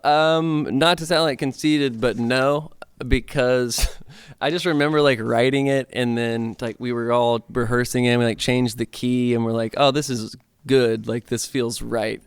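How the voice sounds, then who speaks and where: Laid-back man with a gravelly voice. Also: medium pitch, steady tone, measured pace, no echo. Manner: loose, filler-heavy talk with lots of "like". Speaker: musician guest. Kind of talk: casual interview chat. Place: radio studio.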